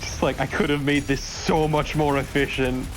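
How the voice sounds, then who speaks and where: A man's voice: fairly high pitch, level tone, moderate pace. A man co-commentating a video game in casual, joking chat. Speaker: let's play co-commentator. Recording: clear background noise.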